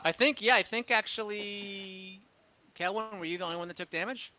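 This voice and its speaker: A man hosts a tabletop role-playing game as game master. His voice is slightly high for a man, and he talks in an easygoing, informal way to his players. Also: moderate pace, sharp tone. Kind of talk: casual online tabletop game hosting.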